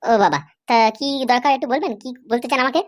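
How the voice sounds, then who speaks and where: A woman's voice: sits high, big pitch swings, fast talker. A woman playing a cagey, bargaining village drinker with a childlike voice and hesitant manner in a comedy cartoon. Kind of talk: amateur comedy cartoon dialogue.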